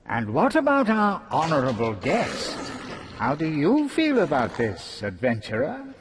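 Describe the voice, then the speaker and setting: Fantasy game villain: gleeful taunting god, theatrical, very melodic and unhurried. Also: male voice, fairly high pitch, wildly swooping pitch, noisy background. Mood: mocking. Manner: mocking, drawn-out theatrical delivery. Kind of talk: fantasy video game acting.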